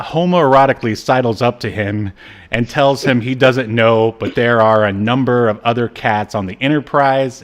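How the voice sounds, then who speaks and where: A man co-hosting a podcast, casually recapping a TV episode in a halting, irreverent way with trailing asides. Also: medium pitch, fairly bright tone. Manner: irreverent, jokey, profane. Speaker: podcast co-host.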